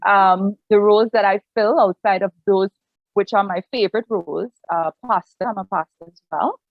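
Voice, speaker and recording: A Trinidadian woman in her forties, speaking earnestly as a guest. She tells her story in a reflective way and often restarts her sentences. Her voice sits mid-pitch and comes through a dull, dry video call line. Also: normal speed, no room sound. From Trinidad and Tobago. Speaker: guest, pastor and family life counselor. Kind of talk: personal story in an online interview.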